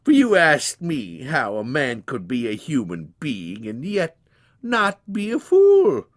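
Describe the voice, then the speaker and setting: A man's voice: mid-range voice, wildly swooping pitch, takes it slowly, voice pushed up. A man voicing a plain-spoken, stubborn man in his nineties from a storybook, with a rambling, exclaiming, folksy manner. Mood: boastful. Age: 90s. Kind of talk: dramatized storybook reading.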